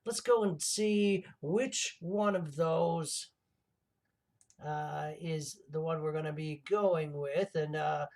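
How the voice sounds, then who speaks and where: A man playing a theatrical mad villain hosting a comedy livestream, rambling and gloating with halting, melodramatic delivery and a creaky voice. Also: a little high, a little mellow. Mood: rambling, playful. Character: theatrical male mad villain host plotting laser revenge. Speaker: livestream show host.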